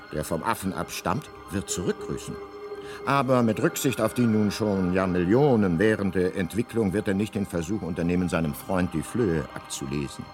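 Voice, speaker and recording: An actor playing a wry, omniscient guidebook narrator, a man with deadpan irony, in scripted radio comedy. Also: on the low side.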